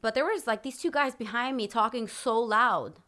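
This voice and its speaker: A woman's voice: a little high, normal speed, sharp tone. A young woman, a musician guest on a podcast, talking frankly and emphatically. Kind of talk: casual interview chat.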